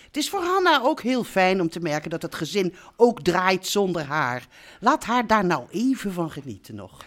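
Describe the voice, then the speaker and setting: A woman's voice: on the low side, very animated, normal speed. A woman playing an affectionate, fussing mother of grown-up children in a radio drama, repeating herself as she goes. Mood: soothing. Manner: fussy, repeats herself, coaxing endearments. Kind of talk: naturalistic Dutch radio drama acting.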